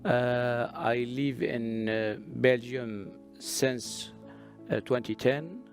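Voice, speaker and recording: A middle-aged male poet from Syria whose first languages are Kurdish and Arabic. He speaks slowly in a gravelly voice and fills his sentences with long hesitant "uh" pauses. Soft guitar plays faintly in the background. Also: medium pitch. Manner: many drawn-out "uh" pauses. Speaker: poet reading at a literary festival. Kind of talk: hesitant prepared talk, then poetry recital.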